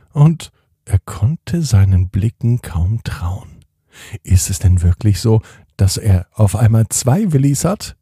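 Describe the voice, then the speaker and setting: A man hosting and narrating a children's bedtime story in an expressive manner, speaking directly to young listeners. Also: fairly low pitch, creaky, slightly rough, no echo, studio quality. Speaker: podcast host and storyteller.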